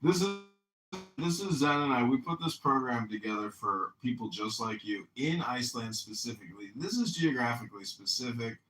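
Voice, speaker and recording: A man's voice: medium pitch, animated, normal speed, fairly bright tone. A man hosting a call-in podcast, talking in a sarcastic, lecturing way and drawing out his questions. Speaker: podcast host. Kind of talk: mocking call-in chat. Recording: roomy, distant mic.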